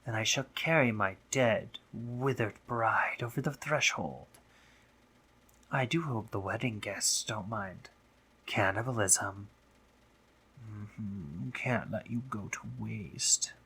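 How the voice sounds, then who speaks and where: Man playing a slow, husky, teasing spider monster. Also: fairly low pitch, bright, forceful tone, exaggerated voice. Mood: mock-dramatic. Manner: theatrical, teasing, drawn-out phrasing, often dropping into breathy whispers. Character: teasing, dominant, courtly male spider monster, affectionate underneath. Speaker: audio roleplay voice actor. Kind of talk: intimate scripted audio roleplay, addressing the listener.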